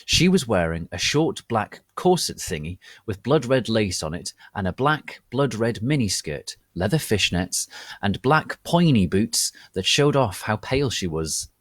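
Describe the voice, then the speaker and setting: Guy doing a bratty goth teen girl telling her story, slow n super theatrical, petulant, dry sound. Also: lowish voice, very animated, dry recording. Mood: gushing, haughty. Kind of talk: dramatic reading of amateur fan fiction.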